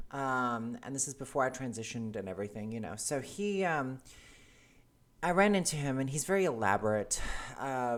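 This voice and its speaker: A woman in her forties, originally from South Africa, talking casually to camera in a hesitant, earnest way, with many ums and restarts. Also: rumbling low. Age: 40s. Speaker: vlogger. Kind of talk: casual personal monologue to camera.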